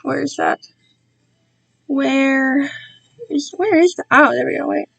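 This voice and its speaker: A woman's voice: high voice, slow pace, vocal fry, fairly bright tone, low-quality recording. A woman chatting casually to viewers on a live stream, rambling and self-deprecating, easily drifting off track. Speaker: streamer reading own fan-written work to viewers.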